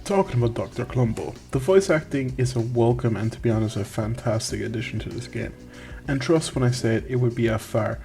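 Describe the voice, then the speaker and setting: A man's voice: mid-range voice, mellow. A man reading a scripted video game review in a wry, tongue-in-cheek way.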